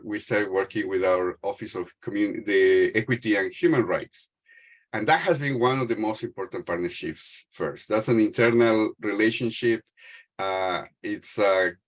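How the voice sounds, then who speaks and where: Low-voiced Mexican-accented middle-aged man. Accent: Mexican Spanish. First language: Spanish. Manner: hesitant, many fillers and restarts. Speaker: panel speaker. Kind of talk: panel talk on a video call. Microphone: video call.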